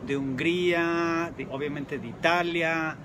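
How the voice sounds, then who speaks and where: A man speaks as a friendly, quick co-host on a live stream. His voice is a bit higher than most men's. The sound comes from a phone held at arm's length outdoors, with clear traffic and street noise behind him. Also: fairly even intonation. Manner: friendly, helps with words, quick. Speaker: dog trainer, co-host. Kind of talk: live video chat. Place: outdoor patio.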